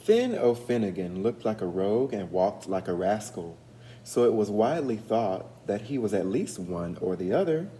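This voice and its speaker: A man reading a storybook aloud as an educator, pausing to put guiding questions to young listeners. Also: lowish voice, lively intonation, dark tone, slight background noise, rough recording.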